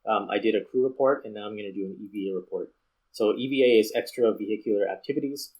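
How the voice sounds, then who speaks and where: A man's voice: lowish voice, fast talker, a little mellow, poor audio. A man hosting a gameplay video with casual commentary, thinking aloud as he explains. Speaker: video game streamer and host.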